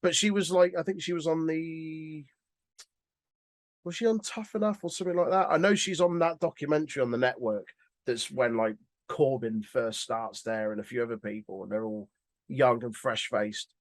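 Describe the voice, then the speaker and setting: A man's voice: fairly high pitch, normal speed, no echo. A man co-hosting a podcast, giving rambling, informal, sweary opinions in casual chat. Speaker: podcast co-host.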